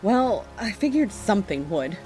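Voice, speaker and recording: A woman's voice: mid-range voice, big pitch swings, moderate pace, over loud music, lightly acted, low-quality recording. A woman playing a wry, brash young survivor in a horror audio drama. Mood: sarcastic.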